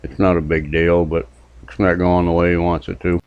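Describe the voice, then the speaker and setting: A man's voice: sits low, flat delivery, normal speed, muffled recording, background noise. A man from New Hampshire hosting a casual reaction commentary channel, making dry, sardonic remarks. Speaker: YouTube commentary host.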